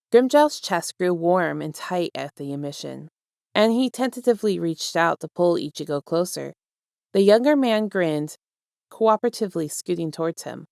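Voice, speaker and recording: A woman's voice: fairly low pitch, gravelly, darkish tone, dry recording, studio quality. A woman reading fan fiction aloud as a storyteller and speaking to her listeners. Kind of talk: scripted story narration, casual outro.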